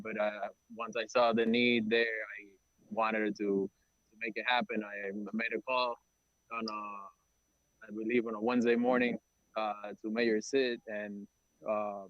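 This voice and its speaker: Halting low-voiced man, flat delivery. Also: dry recording, dull audio. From Dominican Republic. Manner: halting, many "uh" fillers and restarts. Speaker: nonprofit testing organizer. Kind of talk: briefing a council meeting.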